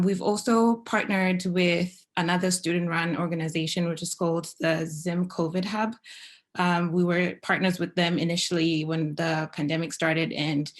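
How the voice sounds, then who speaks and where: A young woman from Zimbabwe speaking as a panelist, explaining steadily with frequent pauses and fillers. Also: fairly low pitch. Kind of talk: panel discussion over video call.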